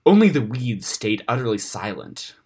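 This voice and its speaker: Husky-voiced man narrating a fantasy story. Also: on the low side, sing-song, moderate pace, a little mellow. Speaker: story reader on a podcast.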